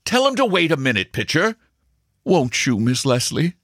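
A man voicing a frantic, busy young male stockbroker who proposes in a hurried rush. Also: fairly low pitch, very animated, a bit bright, breathy edge, no room sound, studio quality, exaggerated voice. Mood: hurried eager.

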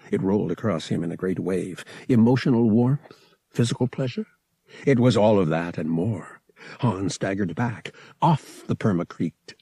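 A man narrating fiction as an audiobook reader, with an expressive, theatrical storytelling manner. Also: fairly low pitch, creaky, husky, no echo. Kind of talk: dramatic scripted fiction narration.

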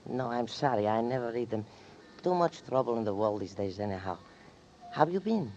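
A man plays a chatty old shopkeeper with a gravelly, lively voice that wanders up and down as he talks. The recording is dull and lo-fi with a faint hiss, like a 1940s radio broadcast. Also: medium pitch, a little fast, natural voice. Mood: friendly. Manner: friendly, rambling, easygoing. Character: kindly old male shopkeeper, chatty and friendly. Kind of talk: 1940s radio drama acting.

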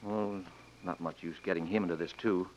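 Guy doing an earnest american western fella, worried, low voice, muffled noisy old radio. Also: fairly even intonation, moderate pace, natural voice. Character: earnest, worried, tender young mine owner.

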